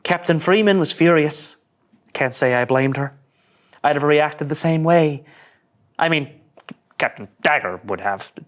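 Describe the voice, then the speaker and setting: A woman's voice: low-pitched, animated, unhurried, pauses often. A woman playing an earnest, easily flustered young male starship ensign in a melodramatic sci-fi script reading. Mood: rueful narration. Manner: melodramatic, indignant monologuing. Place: studio.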